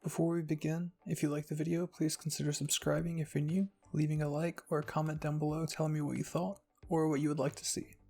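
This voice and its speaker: A narrator with a husky, creaky voice reading fan fiction in a soft scripted storytelling style. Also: medium pitch, level tone, fast, few pauses. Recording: music behind the voice.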